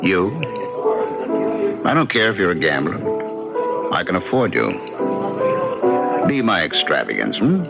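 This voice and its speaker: An actor playing a smooth, possessive bookie who coaxes and insinuates, in an old-time radio drama. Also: male voice, deep voice, huge pitch swings, measured pace, long pauses, heavily acted. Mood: seductive, pressing. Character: smooth, seductive male bookie, possessive tempter. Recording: dull lo-fi radio drama, loud music.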